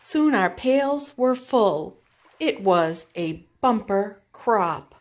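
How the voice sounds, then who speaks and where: A woman reading a children's picture book aloud as a storytime teacher, with deliberate, expressive phrasing for young listeners. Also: medium pitch.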